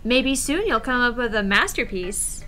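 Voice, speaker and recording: Brief: woman as friendly, teasing schoolgirl club member in a visual novel, bright high voice, faint music behind. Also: animated, normal speed. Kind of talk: visual novel read-aloud.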